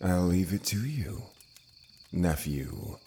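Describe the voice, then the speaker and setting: An actor playing a stern, pious older Puritan uncle in a period audio drama, grave and formal. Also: male voice, low voice, animated, takes it slowly, gravelly, dark tone, a little hiss or hum, full character voice. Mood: grave, resolute.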